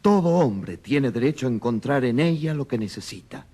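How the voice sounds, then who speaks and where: An actor playing a solemn witness who formally recites papal teaching in a radio drama. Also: male voice, mid-range voice, lively intonation, normal speed, warm tone, close to an everyday voice.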